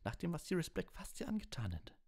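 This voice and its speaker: A man's voice: on the high side, wildly swooping pitch, fast talker, creaky, a little mellow, breathy edge, voice pushed up, exaggerated voice. Bossy teenage girl done by a man, earnest, scolding, voice pushed well up, storybook. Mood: stern, worried.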